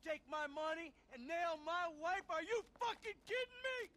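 Hot-tempered middle-aged American man, swooping high. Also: lively intonation, moderate pace, bright, forceful tone, exaggerated voice. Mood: furious, gloating. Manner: profane, sarcastic, explosive. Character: hot-tempered, sarcastic middle-aged male criminal out for revenge. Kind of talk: video game voice acting.

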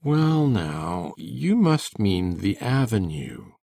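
Woman putting on a very low, gravelly old farmer. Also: very animated, slow pace, warm tone, heavily acted. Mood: hesitant. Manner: hesitant, plain-spoken. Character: shy, slow-spoken, kindly old farmer man. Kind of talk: dramatic audiobook reading.

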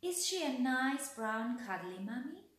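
A woman's voice: high-pitched, big pitch swings, measured pace, a bit bright, voice pushed up, exaggerated voice. A woman voicing a big, friendly male beaver in a storybook reading, with a hearty, cheerful manner. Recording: very echoey room.

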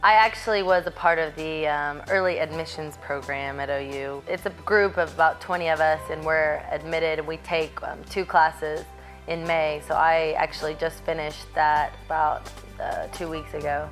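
A young woman in her twenties, an incoming law student, talking calmly in an interview. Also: low-pitched, moderate pace, soft background music.

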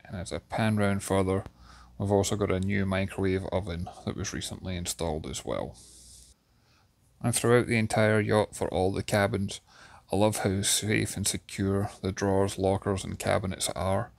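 Gravelly low-voiced man touring a yacht. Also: moderate pace. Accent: Scottish. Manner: steady, descriptive, enthusiastic about details. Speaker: yacht reviewer giving a walkthrough tour. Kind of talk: guided video tour narration. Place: inside a yacht cabin.

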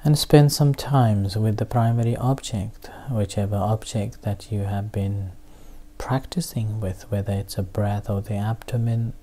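A meditation teacher giving guided instruction in short, halting phrases with long pauses. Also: mid-range voice, steady tone, dark tone.

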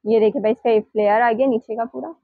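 A woman's voice: on the high side, fairly even intonation, fast talker. A woman with a Pakistani Urdu accent hosting a shopping vlog in a small shop, eagerly echoing and praising what she is shown. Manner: enthusiastic, echoes and praises. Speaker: vlogger host. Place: small clothing shop indoors. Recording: dull lo-fi sound.

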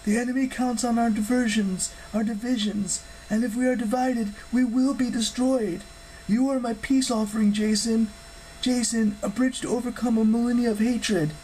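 Audiobook role: male reader as an imprisoned goddess, grave and urgent, raised pitch, portentous delivery. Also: flat delivery, a little mellow, slight background noise, poor audio. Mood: solemn. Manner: solemn, portentous, deliberate. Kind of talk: audiobook character acting.

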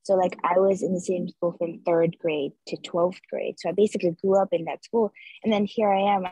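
A woman's voice: on the low side, fairly even intonation, fairly quick, mellow, husky, no echo. A young woman from Nepal, speaking earnestly as an interview guest over a video call, with lots of filler likes. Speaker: interview guest, street artist. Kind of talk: casual reflective conversation. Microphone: video call laptop mic. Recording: dull video call audio.

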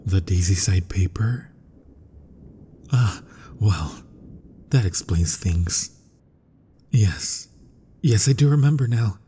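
A man playing an eccentric, fervent gothic-horror mad scientist in an ASMR roleplay, speaking in a breathy, florid, courteous and theatrical way. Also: lowish voice, animated, takes it slowly, long pauses, dark tone, exaggerated voice. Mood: courteous, curious. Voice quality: breathy, husky. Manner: florid, courteous, dramatic delivery. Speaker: voice actor in audio roleplay. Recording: long reverberant hall sound.

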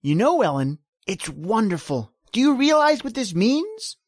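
A woman playing an eager, excitable husband in an old-time radio drama. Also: fairly high pitch, measured pace, husky, no echo, clean studio sound, heavily acted. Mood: excited. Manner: excitable, gushing. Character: eager middle-aged husband, landlady's spouse.

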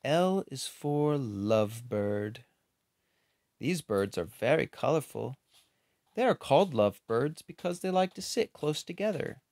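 Unhurried, lively man reading aloud. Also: medium pitch, gravelly, no room sound. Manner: expressive, unhurried, with playful asides. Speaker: picture book reader. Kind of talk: children's book read-aloud with casual asides.

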